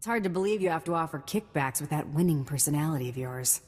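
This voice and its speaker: An actress playing a tough, sarcastic bounty hunter in a video game, blunt and unimpressed. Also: female voice, deep voice, animated, fast talker, a little mellow. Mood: sardonic. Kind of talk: scripted video game voice acting. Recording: hall reverb.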